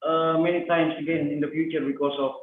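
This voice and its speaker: A man's voice: on the high side, little pitch movement, quick pace. A man moderating a casual online discussion, hesitant and repeating his phrases. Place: echoey room on a video call. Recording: echoey, tinny noisy video call.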